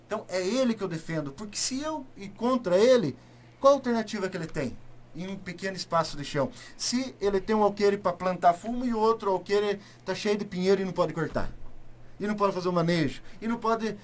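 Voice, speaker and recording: A man from southern Paraná with a rural southern Brazilian accent, speaking as a politician being interviewed, emphatic and halting, repeating words and asking rhetorical questions. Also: sits high, a little hiss or hum. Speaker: state deputy, interview guest. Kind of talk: political interview, spontaneous speech.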